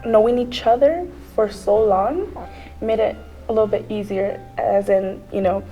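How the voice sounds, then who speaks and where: A woman actress from Mexico speaking English with a Mexican accent, warm and laughing often, in a halting, casual way. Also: medium pitch, steady tone, low-quality recording. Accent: Mexican Spanish. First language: Spanish. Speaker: lead actress. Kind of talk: festival interview, conversational.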